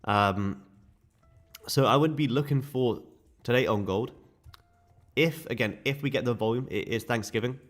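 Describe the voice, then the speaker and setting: A man with a sore, croaky throat, casually walking viewers through live trading charts. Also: medium pitch, moderate pace. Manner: casual, chatty explaining to viewers. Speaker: day trading streamer.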